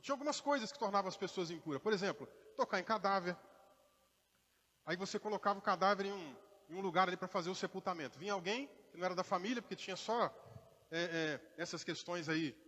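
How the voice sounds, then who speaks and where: High-voiced preacher in a reverberant church. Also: male voice, quick pace, fairly bright tone. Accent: mixed Brazilian Portuguese, partly Minas Gerais. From Brazil, born in Rio, raised in inland Minas Gerais. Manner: conversational preaching with acted quotes and asides. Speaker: pastor. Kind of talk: sermon.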